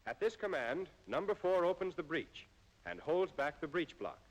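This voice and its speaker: An American man reading scripted instructional narration in a formal, measured way, as in an old military training film. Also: a little high, big pitch swings, rough recording.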